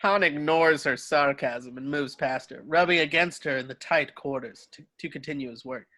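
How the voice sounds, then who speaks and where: Bright higher-voiced man narrating a script. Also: moderate pace. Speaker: stage-direction narrator. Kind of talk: scripted narration in an amateur table read.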